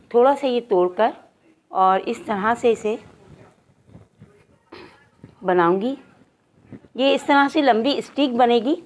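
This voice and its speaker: A woman presents a home recipe in a fairly high voice. She talks straight to her viewers and takes them through the cooking one step at a time. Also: dull audio. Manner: step-by-step, addressing viewers directly. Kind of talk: instructional cooking narration.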